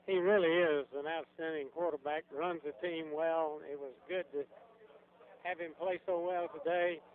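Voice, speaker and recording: A man's voice: on the high side, fairly even intonation, normal speed. A man working as a radio sports reporter, interviewing from a locker room in a chatty way with long lead-ins to his questions. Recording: muffled lo-fi radio broadcast recording.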